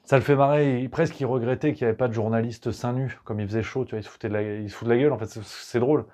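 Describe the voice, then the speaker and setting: A man's voice: mid-range voice, steady tone, racing, warm tone, slight background noise. Sarcastic male political satirist. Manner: sarcastic, crude, conversational. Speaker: host.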